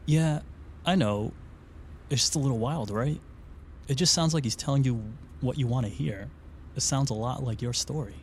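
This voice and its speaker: Blunt, skeptical man, played by an actor, mid-pitched. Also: normal speed, a little mellow, slight background noise, lightly acted. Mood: skeptical, rattled. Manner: blunt, questioning. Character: skeptical, uneasy, blunt man with a shared mystery. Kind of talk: naturalistic audio drama dialogue.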